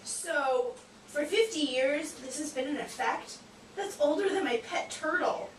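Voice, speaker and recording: A woman voicing a cheeky, naive, excitable puppet sidekick in comic scripted banter. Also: high voice, a little slow. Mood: playful, joking. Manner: playful, exclamatory, wisecracking. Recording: echoey, distant, noisy room.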